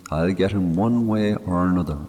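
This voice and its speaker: A man acting out a grieving, brooding Irishman who talks low and half to himself over a fresh grave. His voice sits a little higher than the deep narration around it, and it carries a long hall reverb in this storybook telling. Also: normal speed, dark tone. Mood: grim, vengeful.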